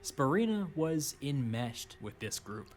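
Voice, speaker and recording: A man narrating a scripted history documentary in a dry, wry, measured way. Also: medium pitch, big pitch swings, a little mellow, a little hiss or hum.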